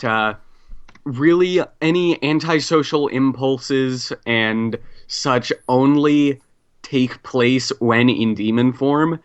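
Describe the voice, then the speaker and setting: A man playing a tabletop role-playing game, explaining his character in a halting, casual way. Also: mid-range voice, fairly bright tone, clean tone, highs cut off.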